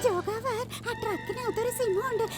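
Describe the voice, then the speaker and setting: A tiny talking cartoon vehicle with an extremely high, squeaky voice. It sounds eager, clever and childlike, with loud music behind it. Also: normal speed. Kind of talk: exaggerated cartoon voice acting.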